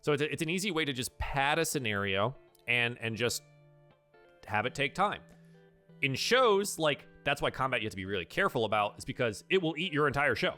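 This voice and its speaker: A man's voice: mid-range voice, animated, a little fast, sharp tone, soft background music. A man hosting a casual livestream advice chat as a game master, speaking emphatically and repeating himself for stress. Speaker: host, professional dungeon master giving advice.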